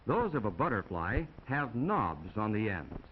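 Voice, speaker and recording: An American man delivers scripted narration in the style of a 1950s classroom film. His mid-pitched voice rises and falls very expressively as he explains things to young viewers in a folksy, chatty way. The old optical soundtrack sounds muffled, with faint noise underneath. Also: big pitch swings, a little slow. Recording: dull, noisy 1950 film soundtrack.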